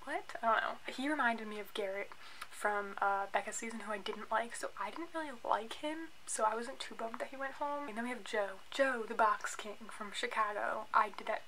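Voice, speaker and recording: A young American woman talks casually to camera as a vlogger. Her voice sits fairly high and has a lot of fry in it. She is chatty and opinionated, with some faint noise in the home recording. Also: moderate pace. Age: 20s. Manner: opinionated, animated, filler-heavy with "like". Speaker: YouTube vlogger reviewing a reality show. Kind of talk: casual chatty vlog commentary. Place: small indoor room at home, by a bookshelf.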